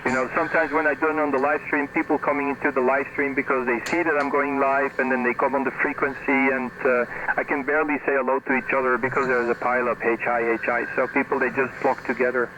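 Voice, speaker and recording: A man's voice: mid-range voice, flat delivery, quick pace, few pauses. A man talking casually as an amateur radio operator, heard through a shortwave radio transmission. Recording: noisy ham radio reception. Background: clear radio noise.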